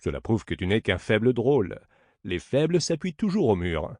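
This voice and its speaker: A man as a sly, mocking servant, animated delivery. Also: on the low side, normal speed, dry recording, studio quality. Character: Grégoire, mocking, sly, sceptical male servant.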